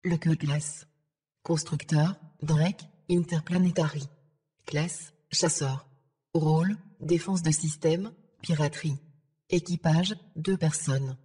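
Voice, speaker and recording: A synthetic female voice of an AI assistant, giving formal, measured, deadpan scripted information. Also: deep voice, slightly rough. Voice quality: synthetic text-to-speech voice. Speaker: AI ship assistant.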